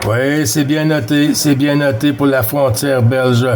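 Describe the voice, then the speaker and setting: A man's voice: mid-range voice, steady tone, unhurried, darkish tone, a little hiss or hum. A man with a Quebec French accent chatting casually over two-way radio as a CB operator, friendly and repeating himself. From Quebec, Canada. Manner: friendly, repeats himself, tags phrases with "hein".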